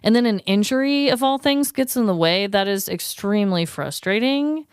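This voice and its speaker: A woman's voice: mid-range voice, animated, normal speed, smooth, dry recording, clean studio sound. A woman with an American accent co-hosting a podcast in casual, chatty, effusive talk. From American Midwest. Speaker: podcast co-host. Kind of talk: casual chat.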